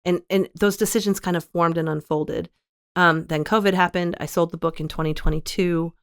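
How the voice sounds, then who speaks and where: Earnest woman with a lowish voice. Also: steady tone, moderate pace, clear, resonant tone, no echo, clean studio sound. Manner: earnest, reflective, frequent self-corrections. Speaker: guest author. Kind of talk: candid interview conversation.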